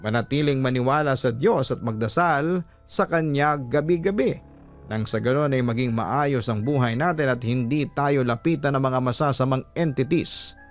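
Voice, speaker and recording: A man with a Filipino accent hosting a story program, reading in a scripted narration style. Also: medium pitch. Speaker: host reading a listener's horror letter. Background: music.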